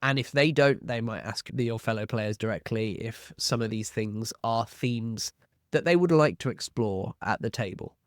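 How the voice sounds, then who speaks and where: A man's voice: medium pitch, moderate pace, no echo, studio quality. A man hosting an explanatory video on tabletop roleplaying, talking to viewers in a conversational, reflective, advisory way and posing questions. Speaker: host of a tabletop roleplaying advice channel.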